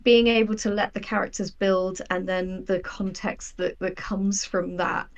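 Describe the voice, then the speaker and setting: A woman chatting casually as an interview guest, telling things in an animated, anecdotal way. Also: medium pitch, measured pace. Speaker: guest, sci-fi writer.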